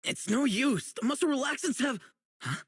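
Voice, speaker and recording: A man voicing an earnest, righteous young public security officer in a video game, dutiful and excitable, with dramatic delivery. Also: very high-pitched, moderate pace, husky, heavily acted. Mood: confused, flustered. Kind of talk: isolated game character voice lines, dramatic acting.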